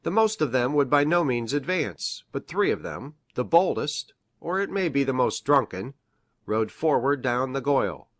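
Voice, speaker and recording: Earnest country doctor in old mystery drama, grave n measured, reads out documents, mid pitch, close dry sound. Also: male voice, very animated, no echo. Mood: grave, ominous. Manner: formal, measured, grave storytelling. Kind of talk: dramatised classic mystery, reading a document aloud.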